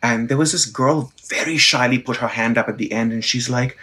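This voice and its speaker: A man's voice: medium pitch, brisk, bright, forceful tone. A middle-aged man from India, a stage actor, talking bluntly and with animation about his career, swearing now and then. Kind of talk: candid conversation.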